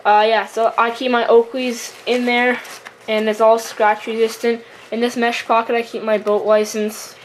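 A young woman from Canada giving a casual, halting gear tour as a fishing vlogger, recorded at home on a camera microphone. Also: a little high, little pitch movement. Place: room at home with wooden floor. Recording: noisy amateur camera recording, handling clicks.

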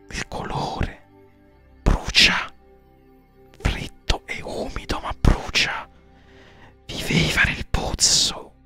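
A man voicing a dying, decaying farmer in a horror story, whispering halting, broken warnings in a breathy, cracked voice. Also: sharp tone. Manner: halting, broken, repetitive phrases.

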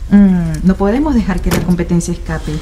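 A woman voicing a menacing female cartoon cat gangster, predatory and deliberate. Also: on the low side, lightly acted. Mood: menacing relish. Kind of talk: animated cartoon villain acting. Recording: clear noise behind the voice.

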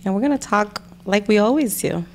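A woman's voice: mid-range voice, lively intonation, brisk. Woman co-hosting a casual podcast. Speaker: podcast co-host. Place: small indoor podcast studio. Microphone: podcast microphone on boom arm.

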